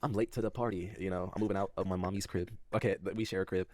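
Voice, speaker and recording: Brief: young creator in his twenties, a man with a lowish voice who rushes his words, dry close sound. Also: steady tone, no room sound. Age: 20s. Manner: soft-spoken, self-doubting, laughs nervously. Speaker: content creator in a clip being reacted to. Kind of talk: candid personal talk to his audience.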